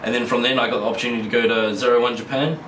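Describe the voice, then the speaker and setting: A young man with a New Zealand accent chats as a guest, laughing easily and circling back through restarts. His voice is pitched middling for a man. He sounds distant from the mic in an echoey room with faint noise behind. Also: fairly even intonation, racing, a bit bright. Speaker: guest wrestler. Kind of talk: casual interview chat.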